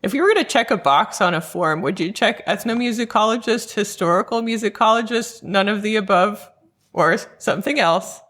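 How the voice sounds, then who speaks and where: A woman's voice: medium pitch, normal speed, a bit bright. Upbeat woman podcast host. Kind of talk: interview hosting.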